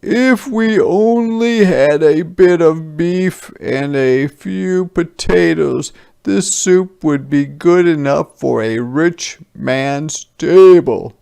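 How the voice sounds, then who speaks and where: A man voicing crafty, cajoling soldiers from a children's storybook, in a coaxing, emphatic, drawn-out way. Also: a little high, creaky, a little mellow, smooth, pitched above the performer's own voice. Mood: wistful coaxing. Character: crafty, cajoling soldiers angling for soup ingredients.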